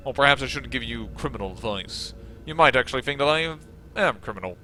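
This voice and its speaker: A man's voice: medium pitch, expressive, fast talker, sharp tone, a little hiss or hum. A Dutch man with a Dutch accent, hosting a let's play and rambling in chatty, self-mocking commentary. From Netherlands. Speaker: gaming channel host.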